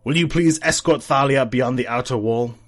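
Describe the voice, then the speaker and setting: A man's voice: mid-range voice, moderate pace, close to an everyday voice. A man playing a stern, devout and loyal church soldier in a fantasy audio drama, solemn and formal. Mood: stern, angry.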